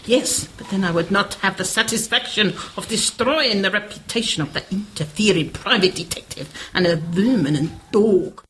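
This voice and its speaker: A woman's voice: medium pitch, moderate pace, hardly any pauses, fairly bright tone, slight background noise, close to an everyday voice. A woman playing a cold, menacing criminal schemer in a radio play, with clipped, formal, commanding speech. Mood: controlled, scheming.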